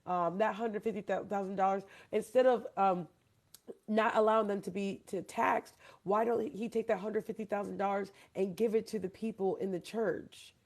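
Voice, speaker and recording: Woman host from Hawaii, gravelly voice, rambling emphatic talker, keeps quizzing her guests. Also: medium pitch, steady tone, moderate pace, darkish tone. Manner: rambling, emphatic, asks listeners questions. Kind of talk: casual debate chat.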